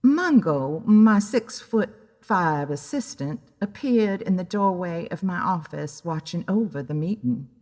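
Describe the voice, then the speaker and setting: A woman's voice: on the low side, animated, measured pace, darkish tone. A woman narrating a scripted story in a dramatic storytelling style. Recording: long hall-like reverb.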